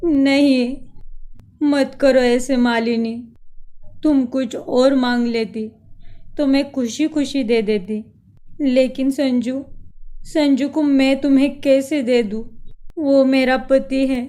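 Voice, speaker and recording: A woman's voice: high-pitched, fairly even intonation, slow pace, warm tone. A woman voicing a heartbroken young wife and mother who pleads tearfully. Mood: pleading.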